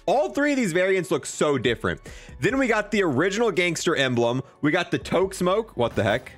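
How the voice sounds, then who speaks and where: High, lively-voiced man hyping game items. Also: fairly quick, soft background music. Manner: enthusiastic, slangy bro talk. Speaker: host of a game review video. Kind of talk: excited gaming commentary.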